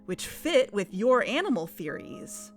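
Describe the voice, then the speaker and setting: A woman's voice: medium pitch, sing-song, soft background music. A woman playing a hesitant, troubled investigator. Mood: accusing. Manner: hesitant, soft, halting. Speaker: investigator in audio drama. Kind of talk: audio drama acting.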